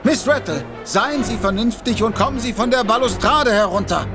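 A man's voice: very high voice, moderate pace, over music. A man playing an agitated, commanding investigator in a radio drama, urgently barking orders. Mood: alarmed. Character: agitated, commanding male investigator pursuing a fugitive.

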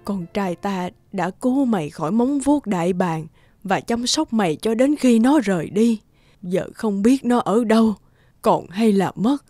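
Woman acting a husky, worried old mother. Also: mid-range voice, mellow, no echo, studio quality, lightly acted. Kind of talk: animated fairy tale dubbing.

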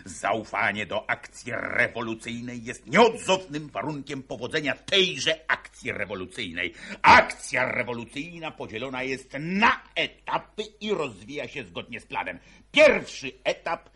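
An actor playing a domineering revolutionary posing as a count in a grotesque radio play, barking commands and reciting doctrine. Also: male voice, mid-range voice, big pitch swings, unhurried, sharp tone, breathy edge, exaggerated voice. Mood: coldly doctrinaire.

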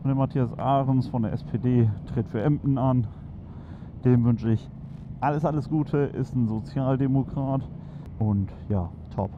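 A man talks loosely and eagerly while riding a motorcycle through city traffic, dropping plenty of fillers. His voice comes through a dull, muffled helmet headset. Wind, engine and street traffic roar clearly behind him. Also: medium pitch, unhurried. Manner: chatty, enthusiastic, many fillers. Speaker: motovlog host. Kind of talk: casual chat while riding.

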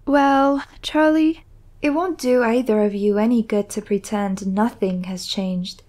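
A woman acts as a young woman in a comic drama, the frank and caring friend. She speaks in a high voice with a supportive, straightforward manner. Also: measured pace, a little mellow, close to an everyday voice. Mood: reassuring. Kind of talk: comic dub acting.

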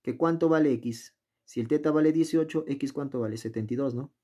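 Chatty math tutor, mellow voice. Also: male voice, mid-range voice, a little fast. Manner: chatty, asks the viewer questions, talks to himself while solving. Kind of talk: casual worked-problem lesson. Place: indoors at a desk, filming the worksheet from above.

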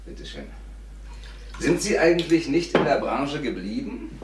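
A man's voice: mid-range voice, sing-song, a little slow. An actor playing a polite but suspicious younger businessman, clipped and probing, in radio crime drama dialogue. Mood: affable. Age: adult, younger than the salesman. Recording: echoey room with faint noise.

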